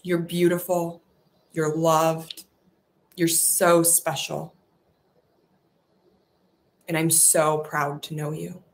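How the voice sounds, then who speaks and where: Woman meditation guide: soft, soothing, repetitive affirmations, unhurried and even, low-set voice. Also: vocal fry.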